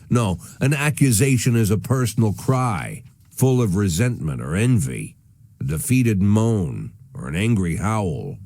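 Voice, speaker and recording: An actor playing a middle-aged, world-weary police chief in a noir video game, speaking in a deliberate, wry way. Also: male voice, low-pitched, warm tone, no room sound, studio quality, heavily acted. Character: weary, brooding police chief facing forced retirement.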